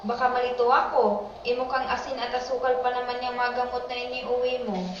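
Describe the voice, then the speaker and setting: A woman's voice: on the high side, little pitch movement, fairly quick, background noise, natural voice. A woman playing a caring, fretful older household nanny in an amateur radio drama. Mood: worried, scolding. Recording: roomy, distant, dull sound under loud music.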